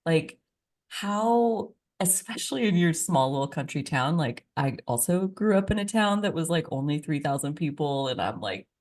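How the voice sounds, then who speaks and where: Low-voiced enthusiastic woman host. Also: animated, moderate pace, a little mellow. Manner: enthusiastic, rambling with many "like"s. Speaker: podcast host. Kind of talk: casual podcast interview.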